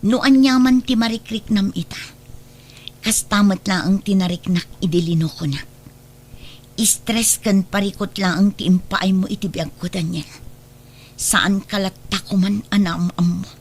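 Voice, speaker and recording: A woman's voice: medium pitch, steady tone, measured pace, a little mellow. Firm wronged Ilocano mother. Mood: reproachful. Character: wronged protective mother, firm, hurt and resolute. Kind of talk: Ilocano radio drama acting. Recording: slightly noisy radio drama track.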